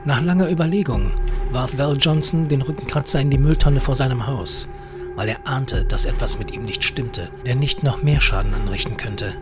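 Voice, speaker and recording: A man reading a short horror story as narrator, measured and dramatic. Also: mid-range voice. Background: eerie horror music.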